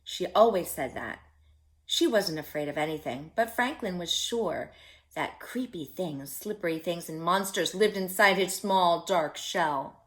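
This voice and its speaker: A woman's voice: lowish voice, expressive, measured pace, fairly bright tone. A woman storyteller reading a picture book aloud expressively, with simple asides addressed to young children.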